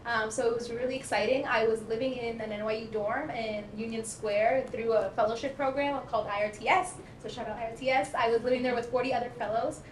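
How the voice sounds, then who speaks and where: Quick, bright-voiced young woman. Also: fairly high pitch, fairly even intonation. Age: young adult. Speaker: student podcast guest. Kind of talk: casual podcast chat. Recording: echoey room, faint noise.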